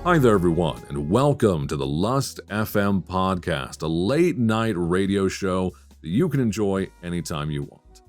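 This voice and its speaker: A man hosting a podcast in a late-night radio chat style, speaking in a measured, articulate and thoughtful way. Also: sits low, animated, soft background music. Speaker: podcast host; voice actor.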